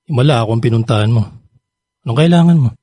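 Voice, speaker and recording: A man's voice: mid-range voice, fast, dark tone, dry recording, natural voice. An actor playing a guarded but forgiving young man with a Filipino accent in a radio drama.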